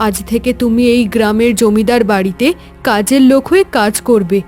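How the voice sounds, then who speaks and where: Wise magical owl, played by a woman: high female voice, gentle, protective, reassuring, music underneath. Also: a little fast, lightly acted. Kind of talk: Bengali animated story voice acting.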